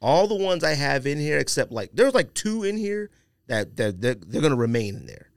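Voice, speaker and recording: A man's voice: a little high, sing-song, normal speed, vocal fry, no room sound, clean studio sound. A man chatting casually as a podcast host, with a slangy, bantering way of talking. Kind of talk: casual chat.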